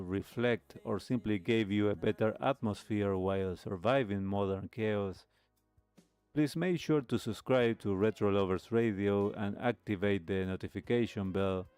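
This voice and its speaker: A man hosting a live stream radio show, speaking reflectively in a measured, halting way with pauses. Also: on the low side, a little mellow, smooth, no room sound. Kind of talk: reflective host talk, signing off a live stream. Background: music playing under the voice.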